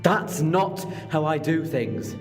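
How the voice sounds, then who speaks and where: An actor playing an earnest, principled time-travelling hero in an audio drama, reasoning in a measured way. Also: male voice, fairly high pitch, sing-song. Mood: defensive. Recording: long reverb with music underneath.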